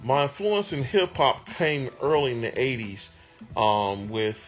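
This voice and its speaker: A man speaks in a mid-range voice, recalling things in a relaxed interview manner with plenty of ums and pauses. The recording sounds a little muffled, with soft music playing underneath. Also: mid-range voice. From Detroit, USA. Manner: unhurried, conversational, with many ums. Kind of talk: reflective interview answers.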